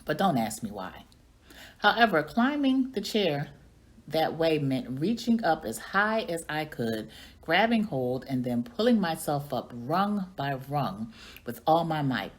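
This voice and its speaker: A woman reading a children's story aloud in an expressive storytelling manner. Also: deep voice, unhurried. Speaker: reading coach or teacher reading aloud.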